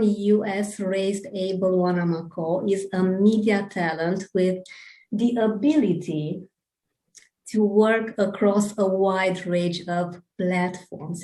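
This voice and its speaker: A woman hosting a podcast over a video call, reading a guest introduction and asking questions in a deliberate, earnest way. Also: medium pitch, mellow, roomy sound, rough recording. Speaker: podcast host. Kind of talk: scripted introduction and interview questions.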